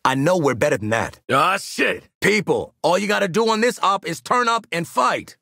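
An actor with an American accent playing a gruff, sardonic assassin in a video game, blunt and slangy, with a raspy voice. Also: male voice, sits high, expressive, moderate pace, pushed and bright, no echo, clean studio sound, heavily acted. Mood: gruff, exasperated. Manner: brash, blunt, street-smart slang, barking callouts. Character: gruff, no-nonsense male assassin, sardonic squad sharpshooter. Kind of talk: video game combat voice lines.

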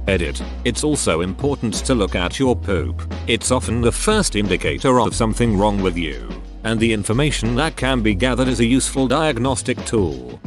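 Low-pitched man reading forum posts aloud. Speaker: narrator.

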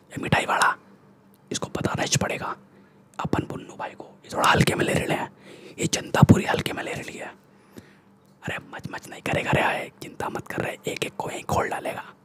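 A man's voice: moderate pace, a bit bright, close to an everyday voice. A young man with an Indian accent playing a cocky, threatening street gangster in a whispered ASMR roleplay, speaking bossy street slang close to the microphone. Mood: menacing. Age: young adult. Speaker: ASMR performer. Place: small room indoors. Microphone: close-up large desktop microphone.

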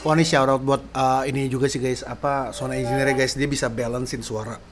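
A man in his thirties giving casual, excitable reaction commentary as a sound engineer, full of slang exclamations, at home in a small room. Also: medium pitch, a little fast. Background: faint music playing.

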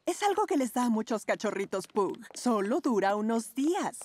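A woman voicing a caring, gently firm mother pug in a children's cartoon dub. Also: a little high, sing-song, moderate pace, a little hiss or hum.

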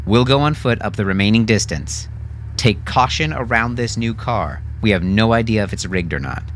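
A commanding military captain, acted by a male narrator, briefs his squad in clipped, authoritative phrases. He is brisk and businesslike, an audio drama officer with noise behind him. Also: fairly low pitch, expressive, a bit bright.

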